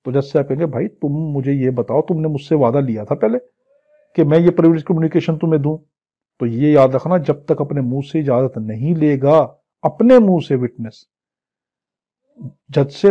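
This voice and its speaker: Husky Pakistani man teaching, muffled audio. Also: a little high, warm tone. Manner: didactic, posing questions and answering them himself. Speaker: law teacher. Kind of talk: exam-prep lecture, reading statute clauses aloud then translating and explaining. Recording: dull, lo-fi lecture audio.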